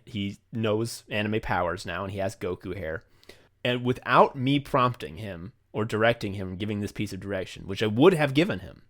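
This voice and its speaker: A man's voice: on the low side, a bit bright. A man hosting a podcast, chatting casually and effusively, with plenty of swearing. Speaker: podcast host. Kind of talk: casual behind-the-scenes podcast chat.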